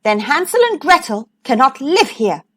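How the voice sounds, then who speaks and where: A woman's voice: high-pitched, very animated, moderate pace. A woman voicing a hard, insistent stepmother from a children's storybook, with a firm, commanding manner. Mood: stern, insistent.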